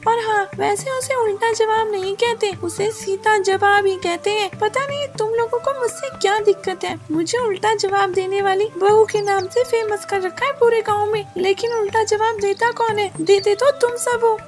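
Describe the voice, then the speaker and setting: A woman voicing a sharp-tongued young daughter-in-law who always answers back defiantly, over background music. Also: very high-pitched, steady tone, normal speed, few pauses, pitched above the performer's own voice. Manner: talks back, defiant and cheeky.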